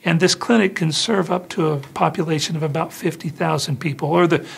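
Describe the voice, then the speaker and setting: Gravelly middle-aged American man. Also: fairly high pitch, steady tone, fairly quick, a little hiss or hum. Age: 40s. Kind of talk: interview explanation for a hospital newsreel. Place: indoor office or meeting room.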